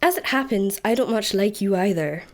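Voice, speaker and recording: A woman's voice: medium pitch, expressive, moderate pace, natural voice. Woman acting menacing Scottish job centre interrogator. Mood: icy menace. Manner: officious, gleefully sinister, cutting. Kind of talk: comic radio play acting.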